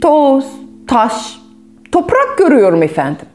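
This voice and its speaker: Sorrowful little water pot, put on by a woman, pleading, apologetic, repetitive, raised animated pitch, storybook tale, musical backing. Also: a little slow, music in the background, heavily acted. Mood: downcast. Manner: pleading, repeating phrases, apologetic. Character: sad, ashamed cracked water pot, pleading and self-blaming.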